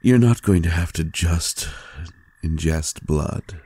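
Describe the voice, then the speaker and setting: A man playing a tender, adoring vampire lover in an intimate audio roleplay, gently teasing in a hushed, halting, gravelly voice. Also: no echo, heavily acted. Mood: reassuring, seductive. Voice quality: very deep, gravelly and husky. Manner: slow, hushed, halting with pauses.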